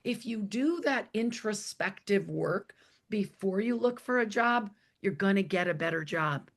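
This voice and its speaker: A woman with a hoarse voice, speaking as an interview guest in a plain, emphatic, conversational way. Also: medium pitch, expressive, a little slow. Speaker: interview guest, author and executive coach.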